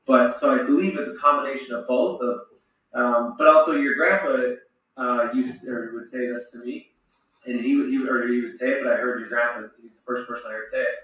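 A middle-aged man who once played hockey answers children's questions in a slow, reflective way, often restarting his sentences. His voice has a bright, forward edge. He sits some distance from the mic, and the room gives his words a heavy echo. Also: medium pitch, steady tone. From Saskatchewan, Canada. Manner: reflective, speaks slowly with frequent restarts. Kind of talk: thoughtful Q&A with children. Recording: roomy, echoey sound from far off.

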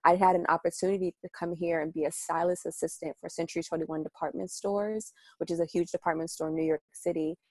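Young woman, low voice, dry close sound, chatty storyteller with ums. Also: level tone, fast, hardly any pauses, darkish tone, no room sound. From United States, Washington DC and Maryland area. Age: young adult. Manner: chatty, detailed storyteller with frequent ums and laughs. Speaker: radio show host and guest. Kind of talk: casual interview storytelling.

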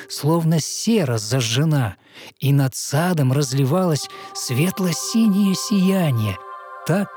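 A man narrating a fairy tale in verse as scripted audio storytelling, with measured, expressive recitation. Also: a little high. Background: soft music.

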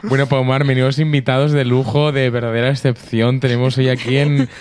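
A man's voice: mid-range voice, flat delivery, fairly quick, a crowd in the background. A man with a Madrid Spanish accent hosting a casual interview in an effusive, camp, slangy and gushing way. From Madrid, Spain. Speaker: radio or podcast host.

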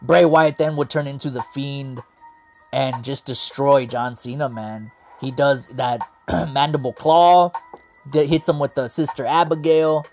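An excited man, rambling through a wrestling recap and losing his voice as he goes. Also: medium pitch, unhurried, soft background music. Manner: hyped, rambling, heavy on "man" and "like". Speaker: wrestling show host. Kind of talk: excited casual commentary.

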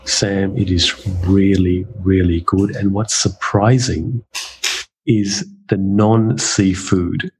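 A man's voice: deep voice, fairly even intonation, slow, a little mellow, slightly rough, low-quality recording. A middle-aged man born in Malaysia, chatting casually and enthusiastically on a talk show. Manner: enthusiastic, laughs often. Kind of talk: casual chat.

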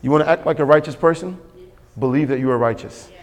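A man's voice: on the high side, quick pace. Preaching man in a reverberant church. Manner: emphatic, repetitive preaching with call-and-response. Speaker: pastor. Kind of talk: sermon. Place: church sanctuary. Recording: long hall reverb.

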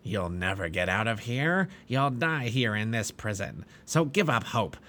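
A man voicing a huge, cruel male giant in a storybook reading, with a slow, rough voice. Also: lowish voice, animated, a bit bright. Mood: threatening.

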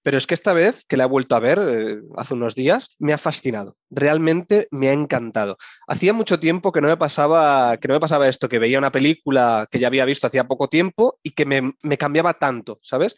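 A man's voice: medium pitch, animated, a little fast, no echo. A man with a Spain Spanish accent co-hosts a casual film podcast. He rambles with enthusiasm, restarting his phrases. His voice is recorded dry and close, with no room sound. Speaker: podcast co-host.

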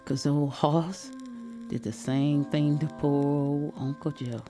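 A woman voicing a curious, tender young granddaughter, telling her story in hushed, wondering dialect. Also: low-pitched, fairly even intonation, takes it slowly, over music. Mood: sad, tender. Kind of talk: dramatic audio storytelling.

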